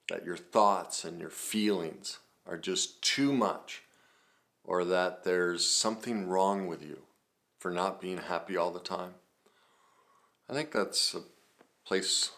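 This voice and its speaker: A man's voice: low voice, a bit bright. A man giving self-help advice straight to the viewer, earnest and reassuring, building emphasis with repeated phrases. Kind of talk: direct-to-camera advice talk.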